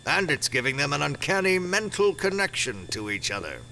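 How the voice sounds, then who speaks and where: A man's voice: fairly high pitch, very animated, a little fast, creaky, bright, forceful tone, slight background noise, exaggerated voice, poor audio. An actor playing a grave, matter-of-fact man in an audio drama.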